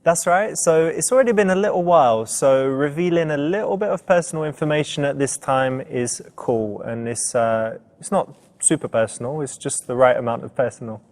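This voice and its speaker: A man presenting as a dating coach, explaining things in a halting, conversational way. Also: fairly high pitch. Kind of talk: casual explanatory commentary.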